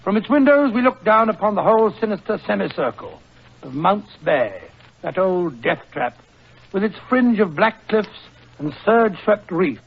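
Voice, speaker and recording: A blustery middle-aged British gentleman doctor in a 1940s radio drama. His voice is high for a man and easily rattled, heard through a dull, muffled old broadcast recording. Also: creaky, breathy edge, heavily acted. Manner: blustery, old-fashioned storytelling.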